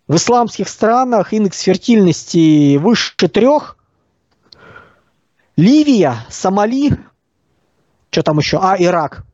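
A man with a high but soft-edged voice delivers political commentary. He explains things didactically and emphatically, breaking points into clipped phrases. The sound is close and dry, slightly dulled. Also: no room sound, muffled recording. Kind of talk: opinionated talk, explaining policy.

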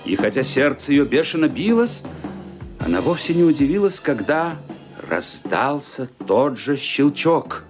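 A man's voice: medium pitch, very animated, unhurried, over music. A man delivering dramatic scripted narration as the narrator of a radio play.

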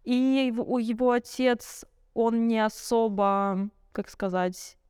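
A woman's voice: on the high side, fairly even intonation, slow pace, darkish tone, smooth, no echo, studio quality. A young woman telling personal stories in a candid, conversational way, reflective and searching for words as a podcast guest. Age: young adult.

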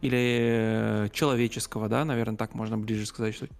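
A man's voice: fairly low pitch, steady tone, fairly quick, vocal fry. A man telling stories in a casual conversational style, often searching for words and correcting himself as he goes. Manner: hesitant, searching for words, with repeated self-corrections.

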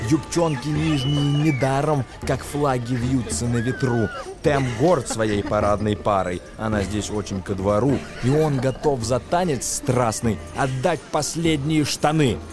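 An actor playing an excitable storyteller who relishes a lurid witches' tale, reciting emphatic, theatrical verse for a radio play. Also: mid-range voice, measured pace, lightly acted. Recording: music behind the voice. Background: music.

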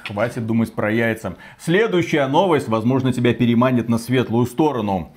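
This is a man presenting video game news in a conversational way. His voice sits at a middle pitch. He comments with strong personal opinions and colloquial, slightly crude wording, like a co-host talking across the table. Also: normal speed. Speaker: gaming news show co-host.

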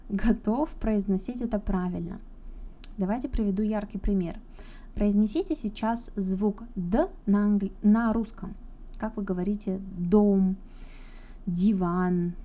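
A woman from Russia hosts an informal pronunciation lesson, her voice deeply mellow and warm. She explains each point in a chatty way and turns to her viewers often, as if they sit across from her. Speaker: English pronunciation teacher. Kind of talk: informal video lesson to camera.

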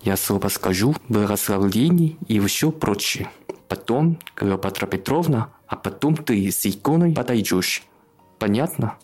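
A man's voice: fairly low pitch, animated, a little slow, darkish tone, faint music behind. A man acting an indignant, scheming father in a radio play, speaking emphatically. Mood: scheming, eager.

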